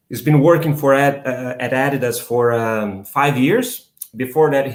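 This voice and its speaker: Brazilian male host, halting delivery, roomy echo. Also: medium pitch. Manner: hesitant, many fillers and restarts. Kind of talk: live online interview.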